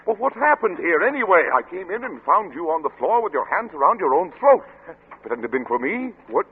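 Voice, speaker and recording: An actor playing a tormented, fearful middle-aged scientist father in 1940s-style radio drama, with intense, halting, melodramatic delivery. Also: sits high, sing-song, husky, heavily acted. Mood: bewildered. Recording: old muffled radio recording with heavy noise.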